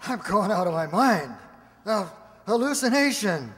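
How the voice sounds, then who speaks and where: A man's voice: sits high, sing-song, unhurried, fairly bright tone, heavily acted. A man playing a condescending, skeptical security supervisor in a radio drama, brusque and easily flustered. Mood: incredulous, flustered. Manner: brusque, patronizing, flustered stammering. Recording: reverberant hall-like amateur recording.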